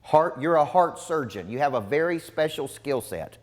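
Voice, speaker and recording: Man in his forties, motivational coach, slightly raised pitch, emphatic and repetitive, long hall reverb. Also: expressive, normal speed. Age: 40s. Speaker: business coach. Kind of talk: motivational seminar talk. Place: large seminar room before a live audience.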